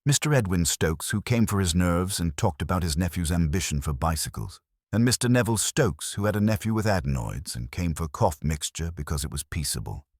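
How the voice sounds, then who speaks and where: A man voicing a reserved female surgery receptionist in a detective story, quiet, professional and exact in her speech. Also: low-pitched, expressive, fairly quick, hardly any pauses, dry recording, studio quality. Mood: composed.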